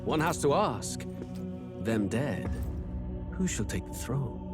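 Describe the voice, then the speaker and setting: Menacing schemer, measured, loud score behind. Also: male voice, mid-range voice, very animated, over loud music. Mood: scheming. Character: cold scheming male villain, menacing.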